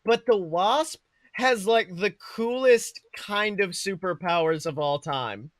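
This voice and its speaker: A man co-hosting a casual movie review chat, talking in an excitable, slangy way with casual swearing. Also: sits high, slow, no room sound. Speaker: podcast co-host.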